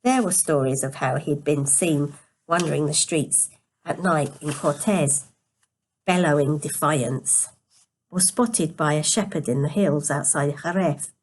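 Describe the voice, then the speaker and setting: A woman reads a story aloud in a quiet, sleepy voice, careful but with the occasional stumble over the words. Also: sits low, measured pace, a little hiss or hum. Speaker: storyteller reading a novel aloud. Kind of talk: scripted story narration.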